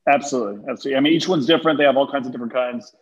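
A man in his forties who grew up speaking Turkish and English, talking in a relaxed, chatty way with many fillers on a video call from his office. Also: medium pitch, rapid-fire, breathy edge. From born in London, raised in Turkey and Texas. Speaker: guest, fund manager. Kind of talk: casual video-call interview. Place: office with high windows, indoors. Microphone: webcam on a video call. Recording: dull lo-fi video call audio.

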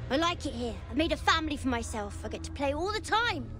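A woman's voice: high voice, sing-song, quick pace, noisy background. A woman voicing a defiant, spoiled young boy spirit in a game, sulky, childish and taunting. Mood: taunting defiance. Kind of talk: dramatic game cutscene acting.

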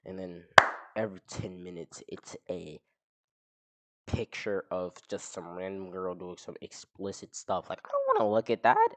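This is a young man talking into a headset mic in a small living room, casual and crude. His voice sits low but swings widely in pitch. He teases strangers bluntly. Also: huge pitch swings, normal speed. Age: young adult. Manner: blunt, teasing, crude insults. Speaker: streamer chatting with strangers online. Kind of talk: casual video chat commentary.

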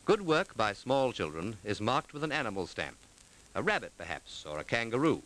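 A man delivering formal, measured scripted narration in the manner of a 1940s documentary, clearly enunciated. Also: lowish voice, very animated, a bit bright, rough recording. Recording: vintage 1940s film soundtrack.

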